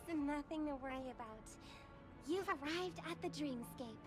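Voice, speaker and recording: A gentle young hostess of a dream world, speaks in a high voice. She is soothing and polite, a video game character with music clearly behind her. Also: expressive, normal speed. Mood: reassuring.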